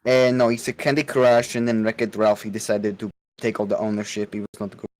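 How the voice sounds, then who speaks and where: A man's voice: fairly low pitch, flat delivery, fairly quick, a little mellow. A man rambling absurdly and casually in broken, slangy in an online chat.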